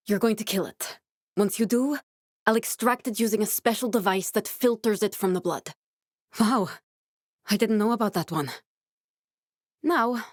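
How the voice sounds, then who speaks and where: A woman's voice: medium pitch, a little mellow, no room sound, lightly acted. Actress as earnest woman scientist, very dry recording. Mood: focused, intent. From born in Norway, raised in Glasgow (character). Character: earnest, guarded woman scientist guiding a survivor ally. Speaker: video game character voice. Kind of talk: naturalistic video game dialogue acting.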